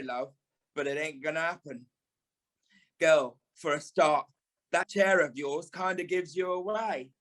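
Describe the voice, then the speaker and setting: Man playing a high-voiced, drawling, flamboyant British dame. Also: a bit bright. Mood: wry, teasing. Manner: theatrical, tactless, drawling, calls people darling and lovey. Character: flamboyant, blunt older former dancer and ballet teacher, a dame. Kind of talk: audio play acting.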